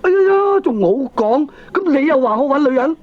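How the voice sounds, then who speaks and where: A man's voice: extremely high pitch, lively intonation, a little fast. A man playing a feckless, defensive middle-aged gambling husband in a comedy radio drama, blustering in Hong Kong Cantonese with exasperated interjections. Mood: indignant. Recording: dull old cassette radio drama recording.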